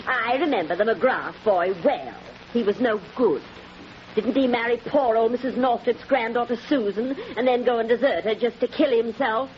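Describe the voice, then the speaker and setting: A woman's voice: fairly high pitch, normal speed, close to an everyday voice. A woman playing a blunt, gossipy, disapproving village postmistress in a 1940s radio drama. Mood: scornful gossip. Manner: blunt, scolding, indignant. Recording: dull old radio recording.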